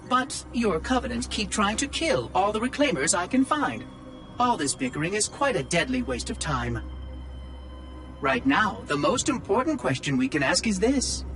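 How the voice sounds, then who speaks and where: Sardonic machine caretaker, high and bouncy, fussy and wry, game cutscene with music under. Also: male voice. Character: chatty, sardonic male AI monitor. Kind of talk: video game cutscene acting.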